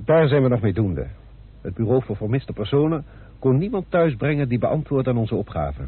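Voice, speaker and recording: A police inspector reports with formal politeness and firm assurance. His voice is husky and moves up and down in lively steps. It comes through a thin, tinny old radio drama recording. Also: mid-range voice, moderate pace. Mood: assured, businesslike. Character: confident, deferential male police inspector reporting on a case.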